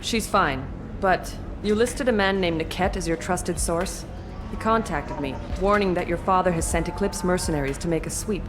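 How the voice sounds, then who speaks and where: A composed female contact voiced by an actress in a space game, formal and deferential. Her voice is a little low, and music plays behind it. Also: normal speed, lightly acted. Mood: formal concern. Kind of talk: scripted video game dialogue.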